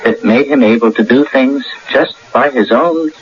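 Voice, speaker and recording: An actor playing an anxious, earnest ordinary bookkeeper in a radio drama, speaking hesitantly with a stammer. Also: male voice, mid-range voice, normal speed, a crowd in the background, natural voice. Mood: nervous, earnest. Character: anxious ordinary bookkeeper burdened with miraculous powers. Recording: dull, noisy old radio broadcast.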